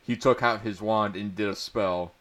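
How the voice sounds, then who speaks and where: A man's voice: on the low side, steady tone, normal speed, fairly bright tone. A man doing a comedic dramatic reading of bad fan fiction, prone to stumbling and giggling as he reads. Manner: often breaking into giggles and stumbling over words.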